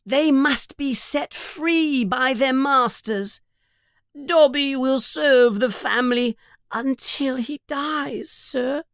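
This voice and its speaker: A small, frightened male elf servant, played by a woman reading a storybook pleads in a very high, slow voice. He is devoted and dramatic, talking about himself in the third person. The sound is close and dry. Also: no room sound. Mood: fearful, servile.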